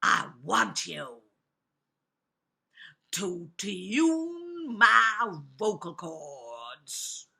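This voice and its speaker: Lady doing a big bad storybook wolf, sly n hungry, loud sharp voice, drags the words out slow. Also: mid-range voice, sing-song, vocal fry, heavily acted. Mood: demanding.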